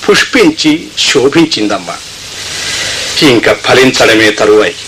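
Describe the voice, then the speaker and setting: Benevolent holy teacher, sharp bright tone, medium pitch, declamatory formal radio play speech, slightly noisy. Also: male voice, lively intonation, measured pace. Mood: solemn teaching. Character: saintly male guru, gentle and fatherly. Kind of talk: Telugu radio play acting.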